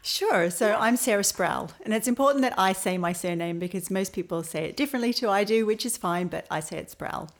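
A woman with an Australian accent, a podcast guest who explains things in a calm, friendly way. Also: mid-range voice, fairly quick, hardly any pauses. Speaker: guest, sexuality educator. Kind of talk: podcast conversation.

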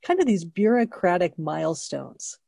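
A woman in her sixties talks through her thoughts in a relaxed, unhurried chat. Her voice sits fairly low and the sound is dry and close, with no room behind her. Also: vocal fry, no room sound. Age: 60s. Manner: thoughtful, explains at length, laughs easily. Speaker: co-host. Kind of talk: casual chat.

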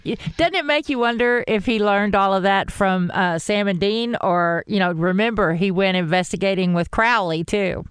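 A woman co-hosts a casual fan podcast, telling stories with lively, rising exclamations. Her voice is smooth and clear, recorded dry and close with no room sound. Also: mid-range voice, normal speed, hardly any pauses, dry recording. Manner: chatty, animated storytelling with rising exclamations. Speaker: podcast co-host. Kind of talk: casual fan discussion chat.

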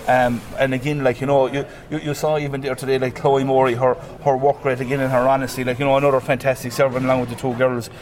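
An Irish man, a team manager, giving a post-match radio interview full of fillers like "you know" and "like". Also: medium pitch. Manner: rapid, filler-heavy, flat delivery.

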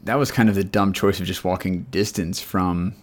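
Man, deep and scratchy-voiced, casual rambling co-host type. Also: fairly even intonation, moderate pace. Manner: rambling, chatty storytelling. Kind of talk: casual chat.

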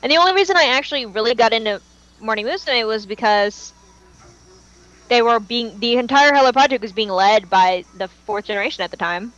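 A woman with a somewhat high-pitched voice co-hosts a casual fan podcast. She talks in a chatty, reminiscing manner, like someone swapping memories with fellow fans. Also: a little fast, fairly bright tone, dull audio. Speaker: podcast co-host.

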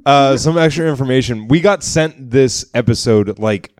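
A man's voice: medium pitch, normal speed, a little mellow. A man co-hosting a live comedy podcast on a theater stage, joking, swearing and laughing often. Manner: jokey, laughs a lot, swears casually. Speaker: podcast co-host.

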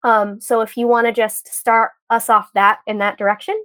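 A woman's voice: fairly high pitch, level tone, normal speed, pushed and bright, no room sound. A woman co-hosting a podcast and interviewing a guest, chatty and upbeat, recording from home over a video call. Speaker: podcast co-host.

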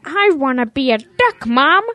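Woman as a high, whiny little boy calf. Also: lively intonation, a little slow, bright, forceful tone, dry recording, full character voice. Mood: pleading. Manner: childlike, whiny pleading. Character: naive, eager, stubborn young boy calf. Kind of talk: audio drama acting.

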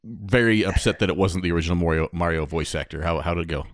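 A man's voice: low-pitched, fairly even intonation, fast, no echo, studio quality. A man co-hosting a podcast, talking casually in an informal, conversational manner. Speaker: podcast co-host.

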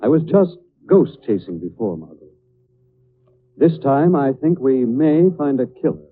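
An actor playing a composed, resolute, urbane gentleman detective in a 1940s radio style. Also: medium pitch, lively intonation, a little slow, music in the background, lightly acted. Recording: tinny band-limited old radio broadcast.

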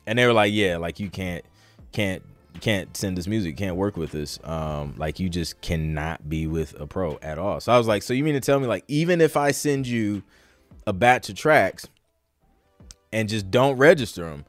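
A man's voice: deep voice, normal speed, faint music behind. A man hosting a podcast, talking in an informal, slangy, conversational way as in a live Q&A. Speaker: podcast host. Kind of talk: casual live Q&A chat.

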